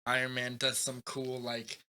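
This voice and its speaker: A man's voice: mid-range voice, completely flat delivery, unhurried, pushed and bright, clear, resonant tone. A man co-hosting a podcast, talking casually about movies in an opinionated, riffing way. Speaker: podcast host.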